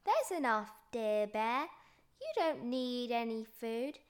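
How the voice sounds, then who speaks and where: A woman voicing a small, kind storybook mouse in a squeaky, comforting way. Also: very animated, slow pace, clear, resonant tone. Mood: reassuring.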